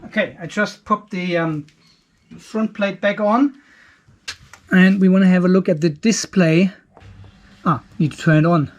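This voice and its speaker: A man with a notably high-pitched voice talks through a hands-on inspection of a device. He muses aloud, curious and relaxed, explaining each thing he finds as he goes. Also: unhurried, long pauses, highs cut off. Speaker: channel host reviewing a home battery.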